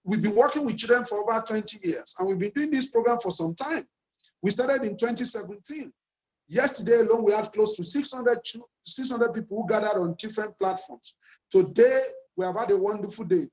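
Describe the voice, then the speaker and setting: A man's voice: sits high, low-quality recording. A man in his fifties with a Nigerian accent speaks to a young audience over a video call. He talks earnestly, circles back to the same questions and repeats lines to drive them home. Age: 50s. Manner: earnest, repeats key phrases and asks rhetorical questions. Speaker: conference speaker addressing children. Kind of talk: motivational speech to young people.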